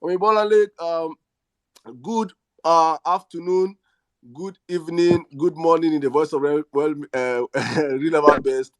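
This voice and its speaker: A man's voice: fairly high pitch, fairly even intonation, measured pace, dry recording. A man with a Nigerian accent hosting an online discussion, speaking in an emphatic, repetitive, rhetorical way as he gives impassioned political commentary. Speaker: host of an online audio discussion space.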